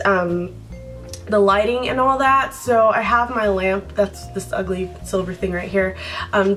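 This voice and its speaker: Chatty rambling young woman vlogger. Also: mid-range voice. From Brazil. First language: Portuguese. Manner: rambling, chatty, laughs often, talks with her hands. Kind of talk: casual chat to camera. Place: bedroom by a window, indoors.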